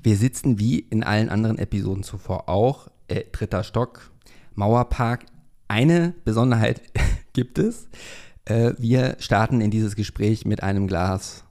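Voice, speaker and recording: Man, podcast host, lowish voice, casual chat, hesitant fillers, self-corrections. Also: unhurried, a little mellow. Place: room in a Berlin flat.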